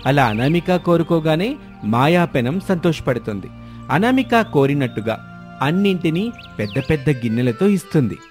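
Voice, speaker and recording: కథ చెప్పే మగ గొంతు, నేపథ్యంలో సంగీతం. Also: male voice, fairly high pitch, very animated, normal speed. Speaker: story narrator. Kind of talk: scripted story narration. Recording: music bed behind the voice.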